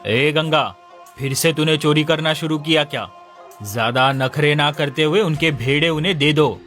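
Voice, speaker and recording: A man playing a stern, questioning village headman who judges disputes in an animated folk story. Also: fairly high pitch, moderate pace, close to an everyday voice. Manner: authoritative, questioning. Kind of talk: Hindi animated moral story dialogue. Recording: music under the voice.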